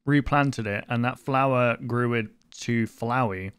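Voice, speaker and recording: A man's voice: medium pitch, measured pace, fairly bright tone, smooth, no room sound. A man in his twenties giving casual reaction commentary and reading captions aloud into a close desk microphone. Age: 20s. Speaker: reaction video host. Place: small home room, indoors. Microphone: desk microphone close to mouth, headset worn.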